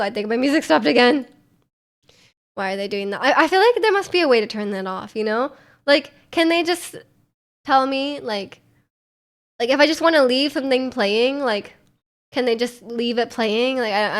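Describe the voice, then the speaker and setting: A woman's voice: a little high, expressive, moderate pace. A young Canadian woman streaming, chatting casually with viewers in a rambling, giggly way full of fillers and restarts. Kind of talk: casual chat.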